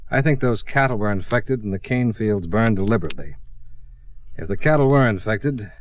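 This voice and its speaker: Guy doing a deadpan wry detective, lowish voice, probing and terse, muffled 50s radio drama. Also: fairly quick, a little hiss or hum, lightly acted. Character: dogged, wry male insurance investigator. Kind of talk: 1950s hard-boiled radio drama acting. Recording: muffled old radio recording.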